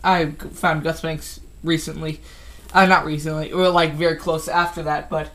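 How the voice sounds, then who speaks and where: A man's voice: a little high, little pitch movement, normal speed, a bit bright. A fifteen-year-old boy chatting casually about his toy collection, rambling with lots of ums and restarts. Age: teens. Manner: rambling, with many ums and self-corrections. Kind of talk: casual collection update vlog.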